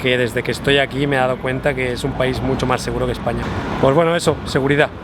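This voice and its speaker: Chatty Spaniard vlogging on a busy street. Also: male voice, medium pitch, fairly even intonation, fast talker. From Spain. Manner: chatty, pointing things out as he walks. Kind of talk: casual chat walking outdoors. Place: city street outdoors. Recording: street noise behind.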